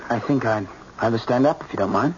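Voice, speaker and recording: Man acting accusing young American son, medium pitch, curt, insistent, radio drama, muffled hissy recording. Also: fast, natural voice. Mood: curt, cold. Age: young adult. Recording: dull old radio broadcast with hiss.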